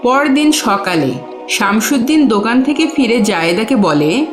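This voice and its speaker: A woman's voice: on the high side, expressive, unhurried, over music. A woman narrating a scripted cartoon story as its storyteller.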